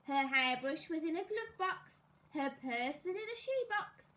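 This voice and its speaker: A woman teacher reading a children's story aloud, expressively and deliberately, as if to young pupils. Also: sits high, animated, bright, forceful tone.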